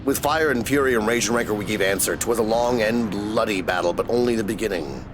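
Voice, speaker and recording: A man's voice: on the low side, background noise, pitched below the performer's own voice, lightly acted. Man voicing an ancient world-weary dragon, solemn. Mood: grave, resigned. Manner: slow, solemn, archaic storyteller delivery. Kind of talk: dramatic reading of video game dialogue.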